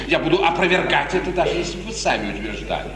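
Scheming male courtier for a vintage radio tragedy: highish, swooping voice, falsely solicitous, formal and insinuating; noisy old recording. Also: sing-song, fast talker, crowd noise. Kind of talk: 1950s radio theatre acting. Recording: noisy 1950s radio recording, echoing hall.

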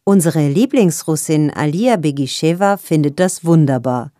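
Low mellow woman presenter. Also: lively intonation, normal speed, no room sound, studio quality. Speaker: audio magazine presenter. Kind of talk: scripted introduction.

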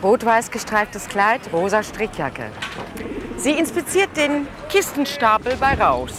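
A woman's voice: fairly high pitch, sing-song, measured pace, over music. A woman giving scripted observational narration in short, present-tense phrases. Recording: clear outdoor street and traffic noise.

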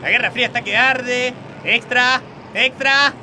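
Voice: mid-range voice, steady tone, measured pace, a little hiss or hum. An adult voicing a cheeky, eager young street newsboy in a comedy radio sketch. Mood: crying out news. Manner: shouting street-vendor cries, haggling.

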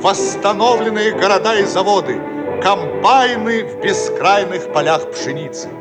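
A man's voice: high-pitched, unhurried. A man narrating a radio play in a declamatory, solemn announcer manner. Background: loud music.

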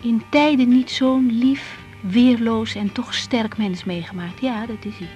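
A woman's voice: a little high, fairly even intonation, unhurried, a little mellow, lo-fi sound, faint music behind, close to an everyday voice. An actress with a Netherlands Dutch accent playing an insecure, outspoken young woman doctor in a radio drama. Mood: tender, unsure. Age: young adult. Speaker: lead actress. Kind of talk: 1980s radio drama acting.

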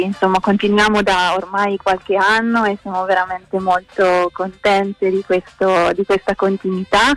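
A woman's voice: medium pitch, rough recording. A woman speaking as a guest in a live radio interview, presenting an event in a friendly, informative way. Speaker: interviewed guest, festival curator.

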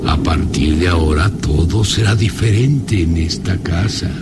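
An actor playing a domineering, menacing aristocratic baron and father in a radio drama, speaking in a commanding, deliberate manner. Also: deep voice, heavy background noise. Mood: calm menace. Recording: radio drama with loud music underneath.

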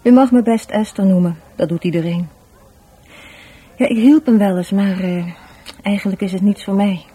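A woman's voice: medium pitch, steady tone, moderate pace, mellow, husky, lightly acted. An actress playing a shy, guarded, educated woman in a radio drama, speaking hesitantly with a Dutch accent. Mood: reserved, guarded. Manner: hesitant, repeats words, evasive. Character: shy, guarded, educated sister of a dead man.

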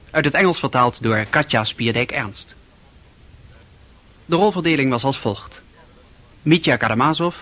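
Male radio announcer, medium pitch. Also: a bit bright. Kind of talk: formal scripted cast credits.

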